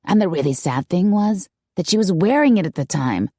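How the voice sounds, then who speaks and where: A low-voiced, sardonic young woman, as a female narrator plays her in a storybook tale. She drawls drily and relishes every bit of gossip, with a soft-edged tone that swings expressively. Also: lively intonation, a little fast, no echo, studio quality. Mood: deadpan.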